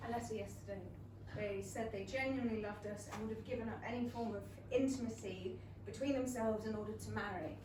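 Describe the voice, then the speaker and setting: Actress doing spirited young regency lady, earnest, high voice, theatre stage, mic kinda far away. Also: brisk, hardly any pauses, close to an everyday voice. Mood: subdued. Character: Lizzie, spirited principled young Regency gentlewoman. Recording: roomy theatre, distant mic, faint noise.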